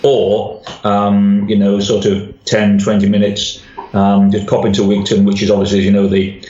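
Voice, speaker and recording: A mature man from northern England, a doctor guest chatting casually and haltingly, repeating words. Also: lowish voice, monotone, mellow. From Whitehaven, Cumbria, northern England. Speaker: guest, general practitioner. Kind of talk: casual chat. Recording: roomy, dull lo-fi remote-sounding audio.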